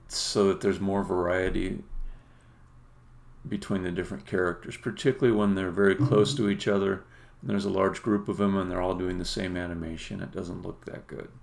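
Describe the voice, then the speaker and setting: A man giving a casual live demo as a game developer, explaining things in halting phrases with pauses mid-sentence. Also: low-pitched, creaky. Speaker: game developer, stream host.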